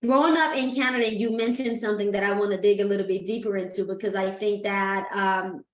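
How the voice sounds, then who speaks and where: A woman's voice: mid-range voice, fairly even intonation, a little fast. A woman hosting an interview, chatty and enthusiastic as she asks her questions. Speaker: host. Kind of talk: casual interview. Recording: roomy echo.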